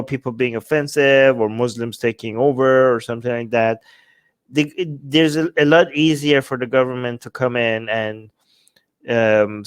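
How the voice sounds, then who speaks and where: Man, smooth clear voice, dry close sound, casual political commentary, emphatic, rhetorical. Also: mid-range voice, dry recording. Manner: emphatic, rhetorical, often checks with "right?". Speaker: talk show co-host.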